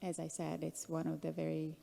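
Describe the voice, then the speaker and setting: Actress chatting on a panel, mellow lowish voice w lots of fry, echoey hall. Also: female voice, monotone, a little fast. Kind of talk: casual panel talk. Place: large indoor conference hall.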